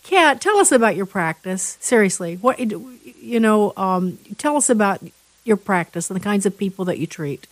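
A woman hosting an interview show, chatting with her guest in a halting way, full of restarts. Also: medium pitch, lively intonation, dry recording, low-quality recording. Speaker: interview host.

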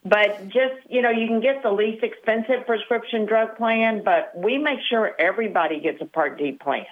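A woman's voice: on the high side, moderate pace. A woman in her sixties, a Medicare advisor, explaining things matter-of-factly as a guest on the show. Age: 60s. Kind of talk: phone-in interview. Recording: tinny phone line.

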